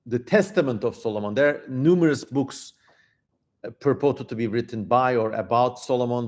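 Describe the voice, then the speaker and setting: A man speaks as the host of a casual livestream. His voice sits at a medium pitch. He talks informally, with a wry edge, and drifts into asides as he goes. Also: a little mellow.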